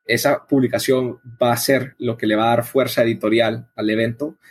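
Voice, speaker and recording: Casting: male interview guest, husky mid-range voice, explanatory and conversational delivery. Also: medium pitch, fairly even intonation, moderate pace. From El Salvador. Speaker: interview guest, coffee event organizer.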